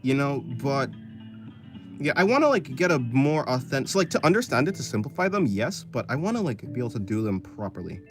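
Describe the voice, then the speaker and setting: Young man chatting lively while he draws. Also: mid-range voice, brisk, music in the background. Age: 20s. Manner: rambling, thinks aloud, restarts often, answers chat. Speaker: streamer. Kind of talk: casual livestream chat while drawing.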